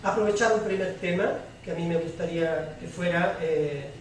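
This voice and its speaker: A man moderating a public panel in a semi-formal, measured way, with deliberate, halting phrasing. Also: high voice. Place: public debate room with audience. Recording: very echoey hall, distant mic, clear noise.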